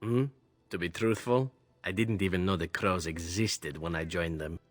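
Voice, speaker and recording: Suave, low-voiced roguish assassin. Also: male voice, normal speed, no room sound, soft background music. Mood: wry, smooth. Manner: theatrical, teasing, flowery phrasing. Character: roguish, suave foreign assassin man, playful and sly. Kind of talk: video game character dialogue.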